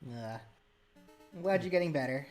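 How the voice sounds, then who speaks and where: A woman's voice: low voice, lively intonation, moderate pace, darkish tone, faint music behind. A woman hosting a casual live stream, rambling and restarting her words as she talks to chat.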